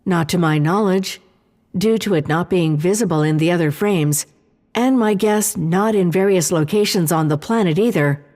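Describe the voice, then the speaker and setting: A woman playing a calm, precise ship computer in an audio drama, explaining things in a measured, formal manner. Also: lowish voice, close to an everyday voice. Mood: measured, analytical. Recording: long reverberant hall-like echo.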